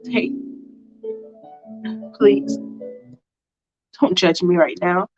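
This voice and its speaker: A woman voicing a gruff, weary, vengeful man, speaking low and terse in a storytelling performance. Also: steady tone, drawn-out words. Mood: brooding. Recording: dull lo-fi sound with music behind.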